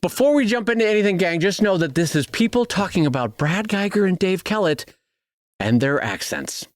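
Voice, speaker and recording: A man's voice: high voice, lively intonation, normal speed, no room sound. Happy upbeat guy cohosting, bouncy voice, dry close sound. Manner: cheerful, merry tone. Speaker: podcast co-host. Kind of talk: casual chat.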